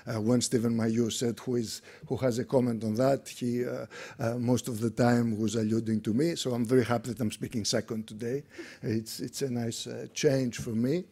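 Greek man lecturing with many halting fillers. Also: medium pitch, mellow, slightly rough. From Greece. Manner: hesitant, many fillers and restarts. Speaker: conference speaker. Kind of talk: unscripted lecture. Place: conference room.

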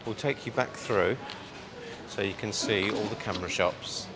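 A man's voice: fairly low pitch, moderate pace. A man in his thirties chatting enthusiastically and jokily in a travel vlog on a busy city street. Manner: enthusiastic, jokey. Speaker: travel vlogger. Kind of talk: casual travel vlog chat. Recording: loud street traffic behind. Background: traffic noise.

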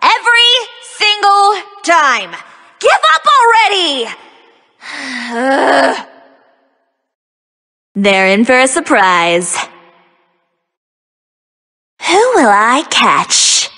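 Very high, bright, peppy magical-girl heroine. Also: big pitch swings, extremely slow, long pauses, big-room sound, full character voice. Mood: upbeat, determined. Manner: sassy, spirited, theatrical delivery. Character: young magical-girl archer heroine, cocky, peppy, teasing. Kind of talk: video game character voice lines.

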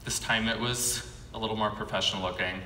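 American male keynote speaker, lowish forceful voice, measured storytelling from a podium in a reverberant hall. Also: steady tone. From California, United States. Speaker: keynote speaker, animation artist. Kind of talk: prepared autobiographical keynote speech. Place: conference stage at a podium, indoors. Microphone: podium gooseneck microphone. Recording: echoey hall PA, faint background noise.

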